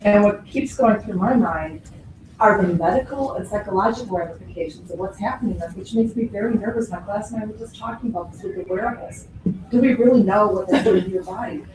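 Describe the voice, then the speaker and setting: Raspy woman in her forties, earnest audience comment, echoey hall, distant mic, audible room noise. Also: medium pitch, a little fast. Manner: earnest, worried, stammering. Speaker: audience member, teacher. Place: hotel conference hall.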